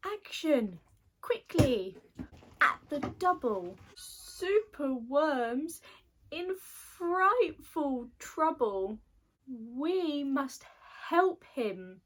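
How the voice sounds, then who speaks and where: A woman's voice: medium pitch, expressive, drawn-out words, fairly bright tone, low-quality recording. A woman voicing alarmed little garden creatures in a storybook, urgent and emphatic as they rally a rescue. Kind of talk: storybook character voice.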